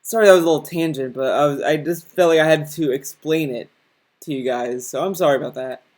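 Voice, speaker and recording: A man's voice: a little high, a little fast, a little mellow. A young man with a slightly raised voice rambles through a casual commentary rant. He keeps restarting his sentences and takes a mocking tone toward what he's reacting to. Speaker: commentary channel host.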